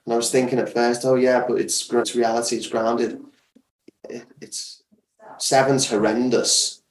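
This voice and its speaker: A man's voice comes through with a roomy echo, set back from the mic, at an average pitch. He talks casually as an interview guest, with enthusiasm, leaning on words and repeating them for emphasis. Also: flat delivery, moderate pace, recorded from a distance. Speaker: guest, audio drama creator.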